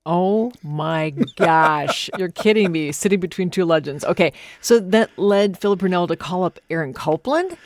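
A woman's voice: lowish voice, expressive, moderate pace, few pauses, no room sound. A woman hosting a radio conversation, chatting and responding informally. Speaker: radio host.

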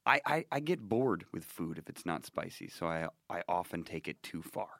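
A man's voice: sits low, lively intonation, normal speed, vocal fry, a bit bright, dry recording, clean studio sound. A man hosting a podcast in casual chat, a bit nasal from a cold, rambling and restarting his sentences with jokes. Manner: rambling, self-interrupting, joking. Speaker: podcast host.

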